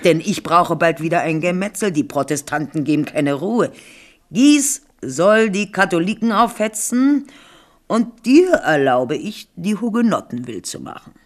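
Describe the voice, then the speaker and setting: A woman's voice: fairly low pitch, animated, a little slow. Scheming old queen mother, cynical and condescending, radio drama. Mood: scheming, scornful. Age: older. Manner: condescending, calculating. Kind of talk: 1970s German radio play acting.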